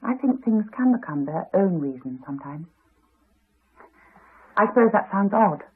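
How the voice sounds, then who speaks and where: A muffled old radio drama recording of an earnest English woman. She speaks in a refined, insistent way, with a breathy husk to the voice. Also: medium pitch, expressive, moderate pace. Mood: quietly intense. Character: earnest, insistent woman drawn to a haunted house. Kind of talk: 1980s radio drama acting. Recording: muffled, band-limited 1980s radio recording.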